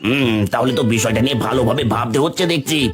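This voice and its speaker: A man's voice: medium pitch, racing, natural voice. A man voicing an impatient middle-aged fisherman husband in a cartoon, doubtful and grumbling, over background music. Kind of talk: cartoon voice acting.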